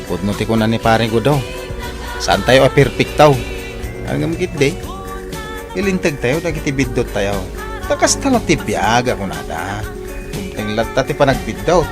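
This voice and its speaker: Lively, jovial village man. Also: medium pitch, moderate pace, long pauses, music in the background. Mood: reflective. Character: jovial village man rallying his neighbours to celebrate. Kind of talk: radio drama acting.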